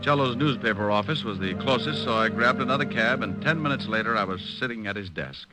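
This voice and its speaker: An actor playing a cocky, wry private detective with an American accent, in the style of old radio crime drama. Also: male voice, mid-range voice, animated, a little fast, natural voice, low-quality recording. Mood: wry narration. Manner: wry, sarcastic, hard-boiled. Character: wisecracking, cocky private detective, flirtatious with women. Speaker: detective and narrator. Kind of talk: 1940s-50s radio detective acting. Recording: old radio recording with music.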